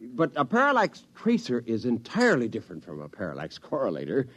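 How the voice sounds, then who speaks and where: An actor playing a hapless, sheepish drinker and bluffer in a 1950s radio drama, with a wry, hesitant manner. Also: male voice, medium pitch, wildly swooping pitch, fairly quick, fairly bright tone, highs cut off. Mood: nervous bluffing. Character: hapless, sheepish hard-drinking man, bluffing job seeker.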